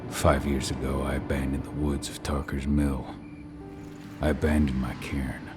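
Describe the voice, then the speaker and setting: An actor playing a gruff, brooding exiled man in a video game, speaking gravely and tersely. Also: rumbling low, flat delivery, moderate pace. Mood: somber, regretful. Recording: loud music under the voice.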